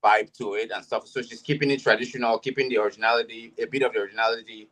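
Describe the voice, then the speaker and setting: Panel guest, man, mid-pitched, a musician, halting delivery with lots of restarts. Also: steady tone, fairly bright tone, poor audio. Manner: hesitant, restarts, many fillers. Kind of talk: panel discussion.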